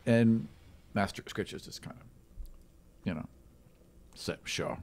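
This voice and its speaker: A man's voice: on the low side, a little slow, warm tone. A man narrating an improvised tabletop fantasy game as game master, in a casual way.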